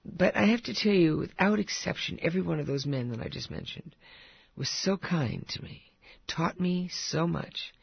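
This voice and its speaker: An older woman with a husky, gravelly voice sits for an interview, telling stories in a chatty way and laughing often. Her voice sits fairly high, and the recording is dry and a bit dull. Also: normal speed, no echo. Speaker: actress guest. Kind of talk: casual interview chat.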